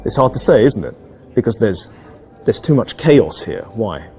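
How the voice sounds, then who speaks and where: An earnest man on a date in a game scene, chatting someone up. His voice is mellow and swings in pitch as he hesitates and doubles back eagerly, with faint music behind. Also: very animated, moderate pace. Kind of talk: acted casual date chat.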